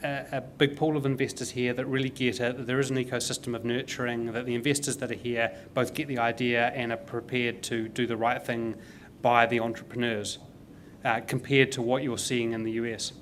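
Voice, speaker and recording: A man's voice: medium pitch, flat delivery, brisk, a bit bright, slight background noise. Emphatic New Zealand man hosting a panel. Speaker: panel host. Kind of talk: casual panel discussion.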